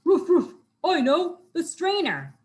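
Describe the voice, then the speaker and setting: Woman playing a loud, bossy storybook dog. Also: very high voice, huge pitch swings, slow pace, voice pushed up, exaggerated voice. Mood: excited. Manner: barks "ruff" between phrases. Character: bossy, loud, good-natured barking dog.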